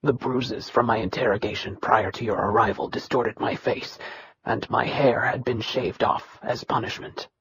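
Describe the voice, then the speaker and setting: A narrator voicing a bitter young man from a royal family who speaks in a low, level, emotionless tone. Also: fairly even intonation, moderate pace, fairly bright tone, slight background noise, lightly acted, rough recording. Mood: cold, bitter. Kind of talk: audiobook character reading.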